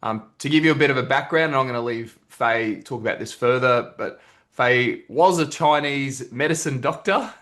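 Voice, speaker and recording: A man's voice: mid-range voice, moderate pace, a bit bright. A man speaking as a host and coach, introducing and talking with a guest in a casual online interview. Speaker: host, property investment coach. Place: remote video call.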